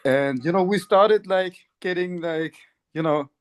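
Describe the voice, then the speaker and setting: Guy on a podcast, kinda high voice, rambles with lots of likes and you knows. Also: measured pace, clear, resonant tone. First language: Turkish. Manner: rambling, many fillers and restarts, laughs often. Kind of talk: casual chat.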